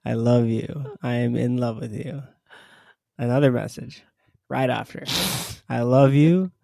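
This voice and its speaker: A man reading a text message aloud as a guest, with a deliberate delivery. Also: mid-range voice, steady tone, creaky, mellow, dry recording, studio quality. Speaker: guest reader.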